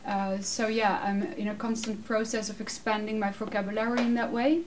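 A Dutch woman in her thirties answering audience questions in a casual, animated way, laughing often; English is her second language. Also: mid-range voice, a little fast. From Netherlands. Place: small room with curtained backdrop, seated. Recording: distant mic, faint room noise.

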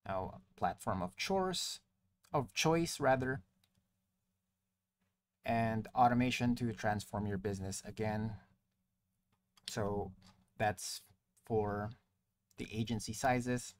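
A man presenting a software tutorial as a walkthrough voice-over, explaining and partly reading aloud, sometimes correcting himself. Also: on the low side, slow, pauses often, a little hiss or hum. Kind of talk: software walkthrough narration, partly read from screen. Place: screen-recording voice-over.

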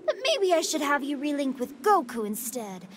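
A woman's voice: high-pitched, big pitch swings, normal speed, a little hiss or hum. A woman voicing a sweet-toothed, playfully cruel female android villain in an anime fighting game, with theatrical, taunting delivery. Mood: hungry glee.

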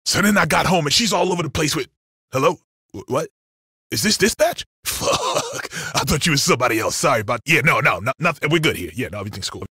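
A man's voice: on the high side, sing-song, fast talker, pushed and bright, breathy edge, no echo, clean studio sound, full character voice. A man with an American accent voicing a brash, jumpy, foul-mouthed video game robber, agitated and stammering. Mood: flustered, irritated. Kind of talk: game voice lines over radio.

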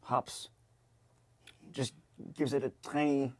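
A man, hosting a casual tasting in a halting, wry way. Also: medium pitch, flat delivery, darkish tone. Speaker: host of a beer tasting show. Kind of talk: casual unscripted tasting commentary. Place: kitchen studio, indoors.

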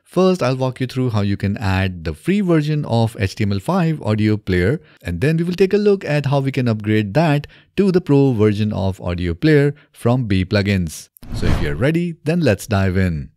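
A man in his thirties presenting a software tutorial step by step in a methodical way, recorded close on a desk microphone in a small home studio. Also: mid-range voice, dry recording. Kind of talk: scripted software tutorial narration. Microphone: close desk microphone on boom arm.

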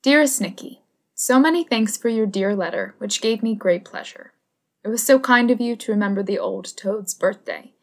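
A woman acts a seventeen-year-old princess in a period audio drama. She has a medium-pitched voice and reads her letters with polite, girlish affection. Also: moderate pace, a little mellow, natural voice. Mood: fond, polite. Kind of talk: dramatic reading of personal letters.

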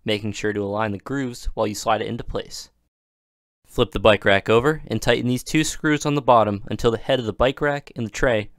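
A man giving scripted, even-toned narration for a product installation video, with steady instructional delivery. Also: fairly low pitch, no room sound, clean studio sound.

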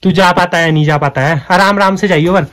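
A young man talks excitedly into his phone camera, keeps calling his friend bhai, and jokes through a cave challenge. His voice sits high for a man. He records outdoors on a green hillside and inside a cramped cave. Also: a little fast, dry recording. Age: young adult. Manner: excited, chatty, says bhai a lot. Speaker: vlogger. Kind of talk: casual challenge vlog. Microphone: phone camera at arm's length.

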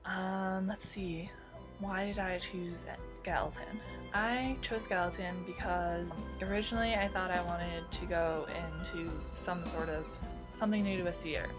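Hesitant, flat, lowish young woman. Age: late teens. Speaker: incoming university student. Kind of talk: casual, unscripted webcam talk. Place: bedroom, indoors. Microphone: laptop webcam. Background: music.

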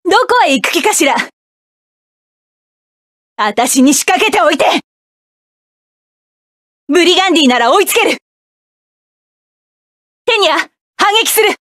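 A proud, fierce mecha pilot woman from an anime-style game, snapping out orders and cool, haughty taunts. Her voice sits very high and bright for a woman. It is recorded completely dry, with no room sound at all. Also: animated, a little slow, long pauses, no echo, exaggerated voice. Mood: fierce battle. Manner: sharp commanding battle shouts, cool haughty taunts. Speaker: voice actress for a game character. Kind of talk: game battle voice lines.

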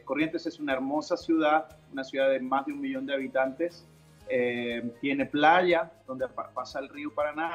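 A middle-aged man from Chile, answering interview questions casually as a guest on a video call. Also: fairly high pitch. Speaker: interview guest, pastor and worship musician. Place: indoor room at home, on a video call. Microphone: video call device microphone.

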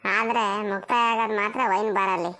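A woman's voice: mid-range voice, fairly even intonation, a little fast, sharp tone. A woman doing scripted comic narration and channel promos for short funny videos.

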